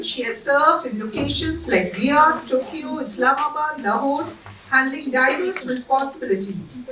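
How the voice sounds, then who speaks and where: A woman with a high voice reads a prepared introduction as the event moderator. She sounds distant from the mic, with a roomy echo and a clear layer of background noise. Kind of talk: formal speaker introduction. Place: large indoor event room.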